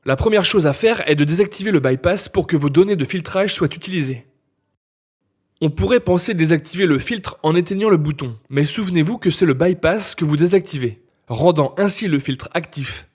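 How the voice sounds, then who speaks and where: A man's voice: on the high side, lively intonation, moderate pace, breathy edge. A man narrating a scripted tutorial as an instructor, explaining things step by step.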